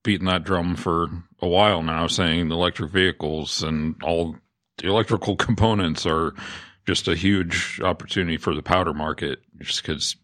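Man, deep voice, very dry recording, podcast co-host, casual news discussion. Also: steady tone, moderate pace, a bit bright, no echo.

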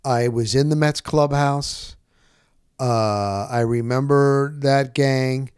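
A middle-aged man telling stories casually as a sportscaster, rambling and candid, with animated, salty talk and repeated phrases for emphasis. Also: mid-range voice, slow, clean tone, no room sound, studio quality. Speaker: sportscaster and podcast host.

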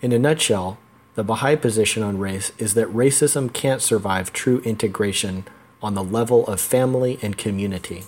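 A man hosting an interview, reading an earnest, measured introduction. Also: lowish voice, a little mellow, husky. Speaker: podcast host and interviewer. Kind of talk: scripted introduction then casual interview.

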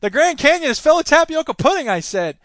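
A man's voice: very high-pitched, sing-song, fast talker, fairly bright tone, no room sound, studio quality, pitched above the performer's own voice, heavily acted. A man playing an amused husband in a comic short story, making playful declarations. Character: amused deadpan husband delighting in an absurd sentence.

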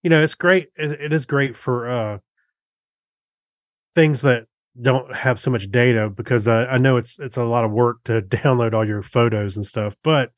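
A man co-hosting a casual tech podcast, speaking in a halting way as he thinks aloud. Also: mid-range voice, steady tone, no echo, studio quality. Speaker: podcast co-host.

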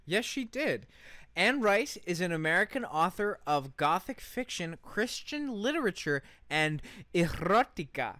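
A man's voice: high voice, sing-song, a little slow, sharp tone, no echo. A man co-hosting a podcast, telling stories in a casual, irreverent, joking way with some swearing. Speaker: podcast co-host. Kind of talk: casual comedic storytelling.

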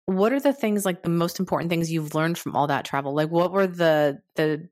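A woman podcast host with a very low voice asks loose, friendly questions, circling with "like" and "you know." The sound is dry and close. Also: moderate pace, a little mellow, dry recording. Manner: friendly, rambling questions with "like" fillers. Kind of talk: casual interview chat.

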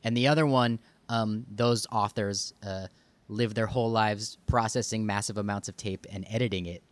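A man hosting a podcast in a conversational interview style, with informal, halting phrasing. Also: on the low side, fairly even intonation, clear, resonant tone, no echo. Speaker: podcast host.

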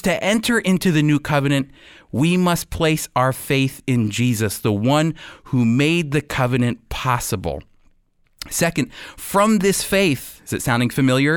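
A man's voice: mid-range voice, expressive, a little slow, clear, resonant tone, dry recording. Guy preaching bible stuff on radio, earnest, mid voice, really dry sound. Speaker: radio Bible teacher and host. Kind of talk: sermon-like Bible teaching.

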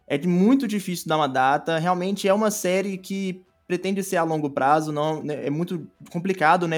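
A young Brazilian man chatting casually as an interview guest, informal and halting, often repeating words. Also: sits high. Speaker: podcast guest, audio drama creator.

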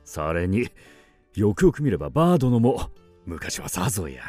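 An actor playing a blunt, sceptical samurai companion with archaic warrior speech in a radio drama. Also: male voice, fairly low pitch, very animated, moderate pace, a little mellow, dry recording, soft background music. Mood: jovial, brash.

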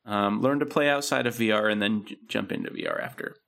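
A man's voice: lowish voice, huge pitch swings, quick pace, gravelly, fairly bright tone. A man co-hosting a podcast, chatting casually and rambling with many fillers and restarts. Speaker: podcast co-host. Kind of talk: casual chat.

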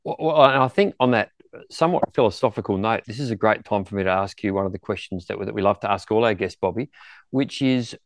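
A man with a fairly low voice hosts a conversational interview. The sound is close and dry, and he phrases things in an encouraging, roundabout way. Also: fast talker, no echo. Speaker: interview host.

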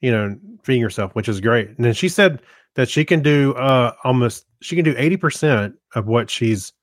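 A man's voice: medium pitch, expressive, normal speed, no room sound. Casual male co-host, dry close sound. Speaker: podcast co-host. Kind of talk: casual conversational commentary.

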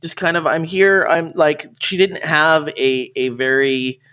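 A man's voice: a little high, unhurried, bright, forceful tone, smooth. A man chatting informally and enthusiastically on a podcast. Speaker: podcast speaker with theater background. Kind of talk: casual podcast chat.

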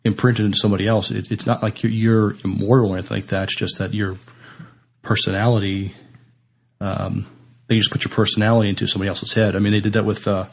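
A man in a casual podcast discussion, thinking aloud haltingly and often repeating his words. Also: on the low side, flat delivery, creaky, husky. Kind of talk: casual discussion of TV science fiction.

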